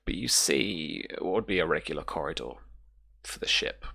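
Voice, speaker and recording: A man narrating a tabletop role-playing game as game master in an improvised, halting way, pausing to find his words. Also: rumbling low, expressive, vocal fry, sharp tone, breathy edge.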